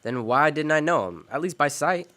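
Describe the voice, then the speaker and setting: An actor playing a hard-boiled, wisecracking private detective in a noir radio drama, terse and wry. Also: male voice, fairly high pitch, lively intonation, normal speed, sharp tone. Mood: wry, probing. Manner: wry, terse, tough-talking. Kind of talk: noir radio drama acting and first-person narration.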